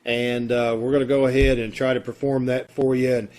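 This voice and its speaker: A man's voice: medium pitch, level tone, brisk, darkish tone. A man chatting in a folksy, casual way as he hosts an amateur theatre troupe's online show over a video call. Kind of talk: casual welcoming chat to an online audience.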